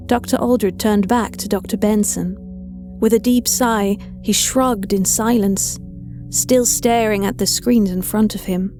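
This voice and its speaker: A woman narrating a scripted horror story in a measured, dramatic storytelling manner. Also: mid-range voice, dark tone. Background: faint music.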